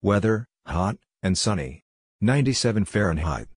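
A man reading out short scripted phrases in a clipped, measured way, as narrator of a drill. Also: on the low side, sing-song, husky, no echo, clean studio sound.

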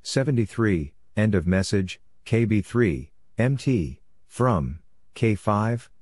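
Low-pitched man reading short scripted phrases. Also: lively intonation, slow, a little mellow, slightly rough, dry recording, clean studio sound. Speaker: narrator of Morse code practice. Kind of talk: scripted readout of radio exchanges.

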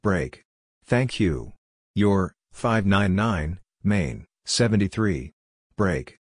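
A man reading out short scripted radio phrases as a practice narrator, in a measured, clipped, phrase-by-phrase way. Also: sits low, animated, breathy edge, no room sound, studio quality.

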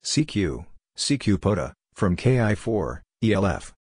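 Deep voiced guy reading out practice phrases, really slow and clipped, one bit at a time. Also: sing-song, a little mellow, breathy edge, no room sound, studio quality. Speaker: Morse code practice narrator. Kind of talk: scripted reading of radio phrases.

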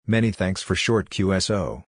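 A man's voice: low-pitched, animated, normal speed. A man reading short scripted practice phrases as a drill narrator, one clipped phrase at a time. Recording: clearly noisy audio with clicks.

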